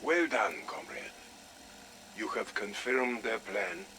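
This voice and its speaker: An actor playing a commanding, cold Soviet colonel, giving deliberate orders in a game audio log. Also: male voice, on the low side, lively intonation, pushed and bright, slight background noise, heavily acted. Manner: deliberate, authoritative, clipped orders.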